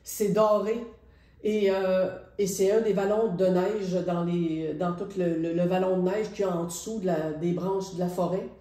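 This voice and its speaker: A middle-aged woman with a Quebec French accent talks casually and reflectively about her painting, hesitating and pausing often. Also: on the low side, fairly even intonation, warm tone. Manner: reflective, hesitant, with many pauses. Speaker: painter presenting her artwork. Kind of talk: casual spoken art presentation. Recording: roomy echo.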